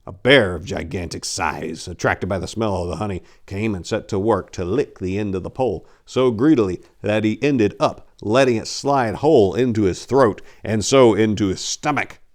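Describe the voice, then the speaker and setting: A man's voice: on the low side, moderate pace, few pauses, natural voice. A man voicing a boastful, gallant aristocratic adventurer, telling tall tales in a grand, theatrical storybook manner. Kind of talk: dramatic reading of an old storybook.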